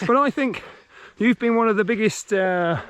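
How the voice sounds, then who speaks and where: A man's voice: sits high, moderate pace, fairly bright tone, clear, resonant tone, no room sound. A man with an East Midlands English accent hosting a casual, encouraging chat while running outdoors on a park trail. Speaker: host interviewing a club runner.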